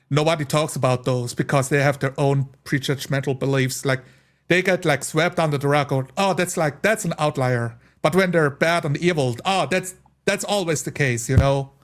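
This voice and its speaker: A man talking casually and at length as a tabletop player, rambling emphatically and quoting imagined speakers. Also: on the high side. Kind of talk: casual podcast-style discussion.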